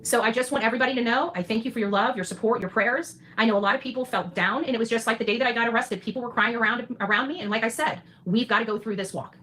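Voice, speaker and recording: A woman's voice: fairly high pitch, fast talker, few pauses, pushed and bright. A woman giving an emphatic, declarative livestream monologue, repeating phrases for weight. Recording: noisy replayed livestream audio.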